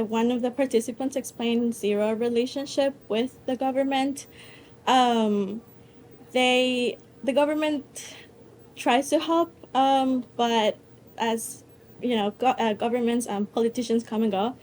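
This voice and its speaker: A woman's voice: high voice, darkish tone, slight background noise. A young woman from El Salvador, a student presenter answering audience questions at a conference, hesitant with many fillers and restarts.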